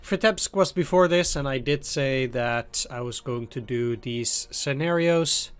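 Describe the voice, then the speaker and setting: A man's voice: mid-range voice, unhurried, clear, resonant tone. A man with a cold hosting a let's play, talking loosely and thinking aloud as he plays. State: has a cold. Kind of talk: casual gameplay commentary.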